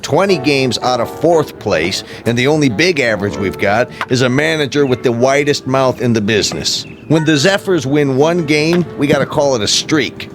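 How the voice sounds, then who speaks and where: A man acts a sarcastic American club owner in a radio play. He is gruff and needling, with a mid-pitched, very animated delivery. Music runs underneath. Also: moderate pace, few pauses, lightly acted. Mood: scornful. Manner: blunt, sarcastic. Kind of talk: radio drama acting.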